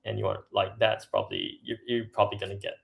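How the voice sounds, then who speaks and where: A man's voice: lowish voice, little pitch movement, fast, vocal fry. A young man from Singapore, a startup co-founder talking casually as a podcast guest, full of fillers and restarts. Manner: filler-heavy, restarts often, laughs between points. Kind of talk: casual interview chat.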